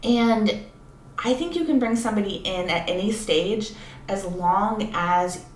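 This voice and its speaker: A woman's voice: medium pitch, a little slow. A woman co-hosting a casual podcast as an editor, explaining things in a thoughtful way. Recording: echoey room with background noise.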